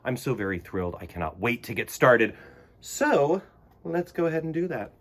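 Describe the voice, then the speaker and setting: A man hosting a children's storytime, reading and talking in a theatrical, expressive way. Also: mid-range voice, normal speed. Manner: theatrical, exclamatory.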